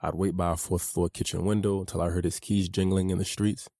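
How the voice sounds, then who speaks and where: A man reads his own memoir in a measured, earnest, personal way. His voice sits very low and carries a gravelly edge. The tone is dark and mellow, recorded dry and close with no room sound. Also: level tone, no room sound. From United States. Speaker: author narrating his own audiobook. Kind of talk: reflective memoir narration.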